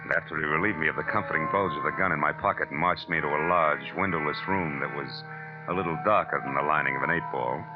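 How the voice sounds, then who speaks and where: An actor playing a tough, wisecracking American private detective in an old radio drama, with a wry, clipped, slangy delivery. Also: male voice, very deep voice, quick pace. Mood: tense, wry. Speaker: actor playing the lead and narrating. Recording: dull vintage radio recording, music underneath.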